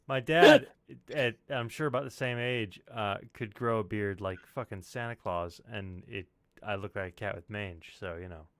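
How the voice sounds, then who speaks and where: A man's voice: on the low side, normal speed, hardly any pauses, a bit bright. A man in casual live-stream chat, joking and self-deprecating, swearing freely. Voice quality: voice actor.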